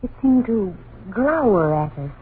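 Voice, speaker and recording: A woman's voice: medium pitch, very animated, unhurried. An uneasy young mother in an old radio play. She sounds pensive and apprehensive, in a middling register. The broadcast is thin, narrow and lightly noisy. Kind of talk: vintage radio drama acting.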